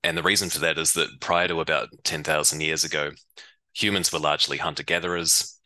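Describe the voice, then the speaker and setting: A man from New Zealand with a New Zealand accent, a startup co-founder and CEO explaining things in a relaxed way as a podcast guest. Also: very deep voice, a little fast, no echo. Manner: relaxed explaining, uses reckon and heaps. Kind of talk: podcast interview.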